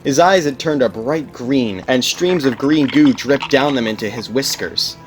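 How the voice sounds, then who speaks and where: Scripted narration, dramatic storyteller, mid-range pitch, music bed. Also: a little slow.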